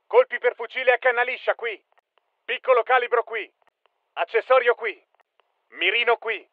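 An actor voicing a tough soldier barking clipped, commanding battlefield callouts for a military shooter game. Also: male voice, extremely high pitch, moderate pace, no echo, phone-quality sound. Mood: focused, businesslike.

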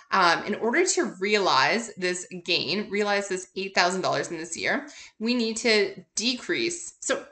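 A woman's voice: medium pitch, pushed and bright. A woman instructor giving an informal recorded lecture, working through examples in a conversational, explanatory way and answering her own questions. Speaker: accounting instructor.

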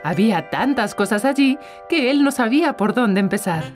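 A woman's voice: fairly high pitch, animated, moderate pace, faint music behind. A woman narrator reading a scripted children's story.

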